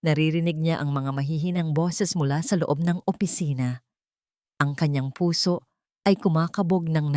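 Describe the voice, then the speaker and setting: A woman's voice: deep voice, darkish tone, no echo, clean studio sound. A woman reading a dramatic scripted story as its narrator.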